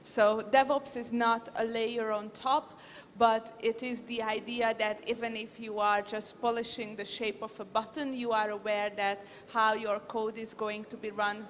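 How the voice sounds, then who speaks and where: A woman on a tech panel, explaining her view in a measured, thoughtful way. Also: medium pitch, level tone, a little hiss or hum. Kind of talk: panel discussion answer. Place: conference stage. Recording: reverberant conference hall.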